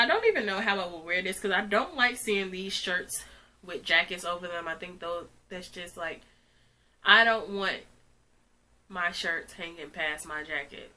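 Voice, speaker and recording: A woman's voice: fairly low pitch, sharp tone. A young woman with a stuffy cold, chatting casually through a clothing try-on haul, rambling with lots of "like". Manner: chatty, rambling, uses "like" often. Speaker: fashion vlogger. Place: small bedroom, indoors.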